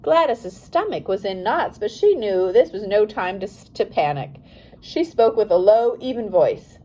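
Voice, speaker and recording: A woman narrating a biography for young readers as an audiobook, in a careful, expressive storytelling manner. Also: medium pitch, unhurried, low-quality recording.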